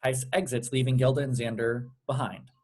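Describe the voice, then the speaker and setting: A man's voice: medium pitch, steady tone, a little fast, darkish tone, slightly rough. A man serving as narrator, reading stage directions aloud at a script read-through.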